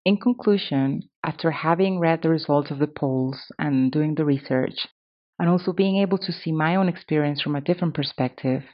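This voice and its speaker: A woman's voice: low-pitched, normal speed, dull audio. A woman in her thirties hosting a podcast, reading scripted commentary in a candid, conversational way. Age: 30s. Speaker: podcast host.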